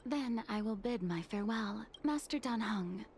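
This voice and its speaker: Earnest, high-voiced deferential female attendant. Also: lively intonation, moderate pace, darkish tone, slight background noise. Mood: formal. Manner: formal, earnest, courteous. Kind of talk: video game voice acting.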